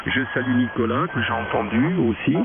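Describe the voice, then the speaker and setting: A man from France, chatting as a radio operator in a courteous, wordy way with frequent pauses. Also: mid-range voice, fairly even intonation, heavy background noise. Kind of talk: radio contact chat. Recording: phone-like shortwave radio, loud interfering voices.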